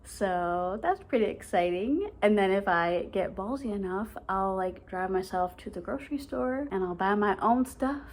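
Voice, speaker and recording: A woman's voice: medium pitch, animated, normal speed, few pauses. Vlogger, woman, late 20s: slight lisp, light stutter, rambling casual chat. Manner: speaks with a stutter; chatty, filler-heavy, rambling.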